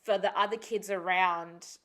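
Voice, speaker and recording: A woman's voice: fairly low pitch, fairly even intonation, unhurried, pushed and bright. A woman whose first language is Spanish, co-hosting a casual podcast, thinking aloud candidly and swearing freely. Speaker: podcast co-host.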